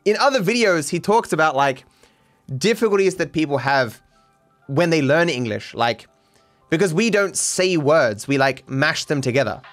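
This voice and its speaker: A man hosting a casual solo podcast, rambling with halting restarts and sudden swings in pitch. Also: animated, a bit bright, soft background music. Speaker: podcast host.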